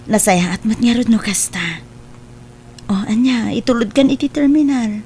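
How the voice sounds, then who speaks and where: A woman's voice: fairly high pitch, normal speed, a little mellow, slight background noise, close to an everyday voice. A woman acting a sweet, affectionate young sweetheart in an Ilocano audio drama. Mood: cheerful.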